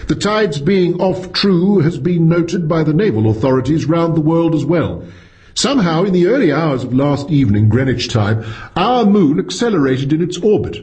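A man voicing a learned senior astronomer with a measured, formal and courteous manner, in a story reading. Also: on the high side, lively intonation, a little mellow, muffled recording, voice pushed up, close to an everyday voice.

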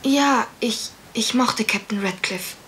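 A high-voiced, timid young maid. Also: female voice, slow pace, a bit bright, slight background noise. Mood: hesitant, defensive. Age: young adult. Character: timid, obedient young housemaid. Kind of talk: German radio crime drama acting.

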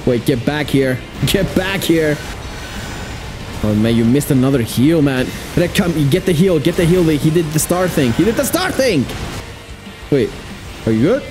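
A man with a raspy voice doing excitable gameplay commentary with a friend, repeating short urgent phrases. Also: on the high side, moderate pace. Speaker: gaming streamer. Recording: loud game music behind voice. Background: video game music and effects.

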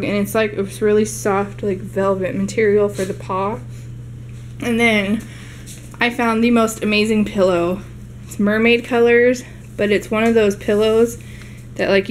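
Young woman vlogger, casual rambling show-and-tell, mid pitch, faint room hum. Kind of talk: casual haul video narration. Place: home living room.

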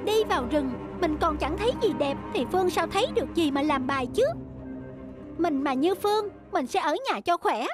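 A woman's voice: extremely high pitch, lively intonation, moderate pace, music in the background. A woman voicing a bored, grumbling young schoolchild in a cartoon, whiny and plain-spoken. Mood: bored, gossipy. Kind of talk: animated cartoon dubbing.

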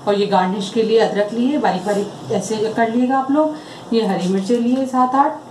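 A woman's voice: on the high side, moderate pace, a little mellow, slight background noise. A middle-aged woman demonstrating a home recipe step by step in her kitchen, patiently explaining each ingredient and promising tips. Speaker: home cook, co-host of cooking channel. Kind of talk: casual cooking demonstration. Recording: echoey kitchen with sizzling.

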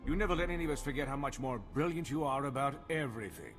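A man's voice: mid-range voice, animated, fast talker, lightly acted. A man playing a grouchy, cautious, exasperated pilot officer in a video game, stern and disapproving. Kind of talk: video game cutscene acting. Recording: loud music behind.

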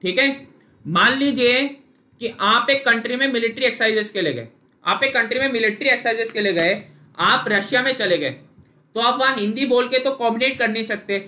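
Bright, high-voiced Indian coach teaching. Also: male voice, a little fast. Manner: explains patiently, addresses viewers by name. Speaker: SSB interview coach. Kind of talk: online live class lecture.

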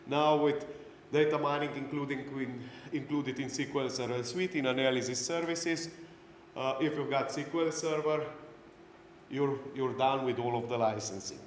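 Middle-aged Slovenian-accented man lecturing, echoey room. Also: medium pitch. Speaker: conference speaker and technical instructor. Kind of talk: technical conference lecture. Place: indoor conference breakout room. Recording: roomy echoey hall, clear background noise.

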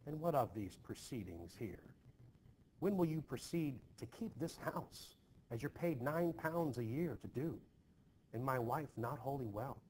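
Male reader as troubled Puritan husband, stern, forceful archaic speech, slow, noisy home recording. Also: medium pitch, animated, natural voice. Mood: angry, scolding. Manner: archaic formal phrasing, forceful. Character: stern, troubled Puritan farmer husband, defensive and short-tempered. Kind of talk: expressive play reading.

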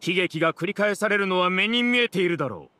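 An actor playing an arrogant mastermind villain in a video game, speaking with haughty, theatrical contempt. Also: male voice, high voice, lively intonation, fast talker, sharp tone, no echo. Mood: scornful. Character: arrogant villainous male mastermind ruling a hive mind. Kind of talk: Japanese video game voice acting.

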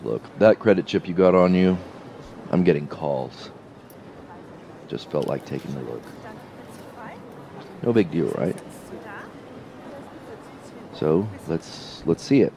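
An actor playing an insinuating, falsely friendly space-station policeman, drawling and halting as he needles, in an audio drama. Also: deep voice, animated, creaky, mellow, a little hiss or hum, full character voice. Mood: needling.

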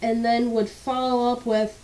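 A young woman narrating her own story in a relaxed, unpolished storytime style. Her voice is a bit stuffy and congested, as if from a cold. She talks bluntly and candidly, swearing casually as she goes. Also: on the high side, a little slow, rough recording.

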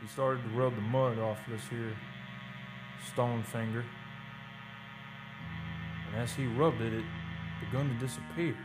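An actor playing a folksy, uneasy oil-rig worker telling an eerie tale in old-time radio drama style, halting and ungrammatical. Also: male voice, mid-range voice, fairly even intonation, close to an everyday voice, poor audio. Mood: haunted, hushed. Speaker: actor narrating a radio play. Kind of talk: old-time radio drama acting, first-person storytelling. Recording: live radio studio with music behind.

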